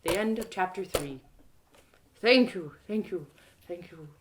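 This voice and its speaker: A woman's voice: fairly low pitch, animated, measured pace, background noise. A woman storyteller narrating a first-person tale in an emotive, theatrical way. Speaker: storyteller, audio drama author-performer.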